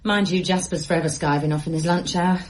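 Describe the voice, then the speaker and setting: A woman's voice: lowish voice, fairly even intonation, fast, natural voice. An actress playing a carefree, unworried mother with an airy, dismissive manner in a radio drama. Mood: breezy. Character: breezy, unworried mother of schoolchildren.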